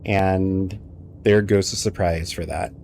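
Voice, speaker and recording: A young man with a lowish voice rambles through travel stories while he games live. He speaks into a headset from a home setup, and a faint hum of game ambience sits behind him. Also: slow pace, creaky. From United States. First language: English. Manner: rambling, anecdotal, animated storytelling. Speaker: streamer. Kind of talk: casual live-stream chat while gaming.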